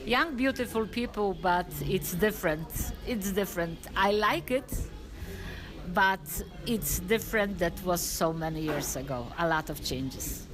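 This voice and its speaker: A middle-aged woman with a Polish accent, answering interview questions haltingly with many fillers. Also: on the low side, animated. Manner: hesitant, many fillers, halting non-native phrasing. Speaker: interviewee, former business owner. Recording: crowd noise in background.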